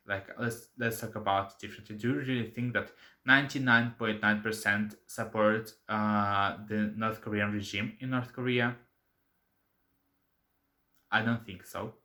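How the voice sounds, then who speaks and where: A man's voice: medium pitch, sharp tone. A young man from Russia with a Russian accent, speaking English as a second language in a casual opinion vlog, earnest and full of fillers and self-corrections. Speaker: channel host.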